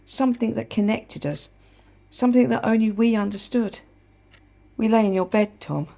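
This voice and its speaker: An actress playing a wry, sharp, defiant woman in a naturalistic radio play. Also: a little high, moderate pace, gravelly. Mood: anguished confession. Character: adult woman, wry, sharp, defiant, confessing to her partner. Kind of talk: naturalistic radio play acting, dialogue and monologue.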